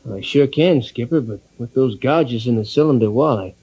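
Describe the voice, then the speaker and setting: Man playing a mellow, steady submarine engineer. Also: medium pitch, moderate pace, slightly rough, natural voice, poor audio. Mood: earnest, grave. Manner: matter-of-fact, respectful reporting. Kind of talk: old-time radio drama acting.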